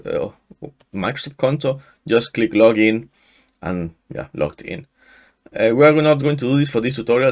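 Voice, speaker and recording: Mexican-accented man giving a software tutorial. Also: mid-range voice. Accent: Mexican Spanish. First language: Spanish. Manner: halting, frequent restarts and fillers. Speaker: tutorial host. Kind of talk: screen-share software tutorial. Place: small room indoors. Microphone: headset.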